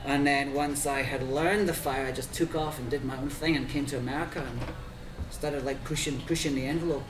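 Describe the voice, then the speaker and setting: A man's voice: medium pitch, a little fast. Chatty Scottish-born man in an echoey room. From Scotland. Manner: animated, talks with lots of fillers. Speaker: fire performer guest. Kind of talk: casual interview. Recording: echoey room from far off with crowd noise.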